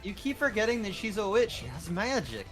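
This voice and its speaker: A man's voice: sits high, big pitch swings, fairly quick, fairly bright tone, faint music behind, rough recording. A man co-commentating on a gaming livestream in casual, joking chat.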